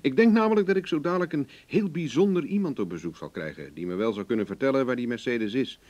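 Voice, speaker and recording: An actor playing a cocky, wry, hard-drinking investigator in a radio play, speaking in a dry, self-assured way. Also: male voice, mid-range voice, lively intonation, fast talker, a little mellow, natural voice. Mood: smug, relaxed. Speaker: actor in the lead role. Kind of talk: Dutch radio play acting. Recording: dull, lo-fi old radio recording.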